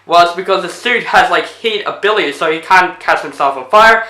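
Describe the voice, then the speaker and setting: A young man talks through a movie review in a loose, rambling way, stacking fillers as he retells scenes. His voice is bright and sits a little high, and it sounds worn out. The room around him is echoey. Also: a little fast. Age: young adult. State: voice getting tired. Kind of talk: casual video review. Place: indoors in front of a plain blue backdrop.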